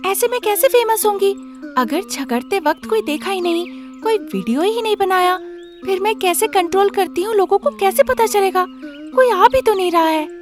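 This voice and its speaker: A woman's voice: very high voice, lively intonation, normal speed, over music, pitched above the performer's own voice. A woman voicing a fame-obsessed young daughter-in-law in a cartoon story, whiny, eager and exaggerated. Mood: whiny, frustrated. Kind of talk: animated moral-story character voice.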